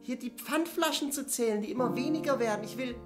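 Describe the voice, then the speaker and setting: A woman's voice: a little high, fast, natural voice. A woman playing an earnest, hesitant small-town bottling worker with big dreams in an improvised radio play. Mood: earnest, determined. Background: guitar music.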